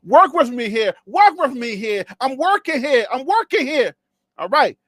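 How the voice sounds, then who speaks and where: High-voiced joking man with a dry sound. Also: sing-song, measured pace, fairly bright tone, no echo. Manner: rambling, joking, laughs often, talks to viewers. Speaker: sports show host. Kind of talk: casual solo sports talk with jokes.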